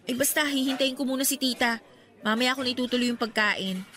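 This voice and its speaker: A woman playing a young Filipino girlfriend in a radio drama, polite, bashful and gently chiding. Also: high voice, fast talker, faint music behind. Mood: surprised, chiding.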